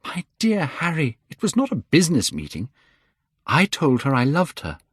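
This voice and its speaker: A man voicing an excitable, lovestruck young man, speaking high and eager in a storybook reading. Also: normal speed, breathy edge, dry recording, studio quality, exaggerated voice.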